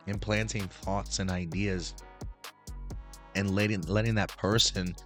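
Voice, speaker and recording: A hoarse man hosting a casual show review, rambling with many restarts while recovering his voice. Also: sits low. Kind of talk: casual review talk to camera.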